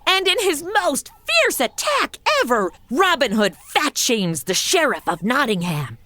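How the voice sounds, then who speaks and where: A woman's voice: high-pitched, big pitch swings, a little slow, bright, forceful tone, full character voice. A woman playing a sassy, sarcastic modern wisecracker in a comedic audio drama. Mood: wry. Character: sassy modern American woman among medieval outlaws.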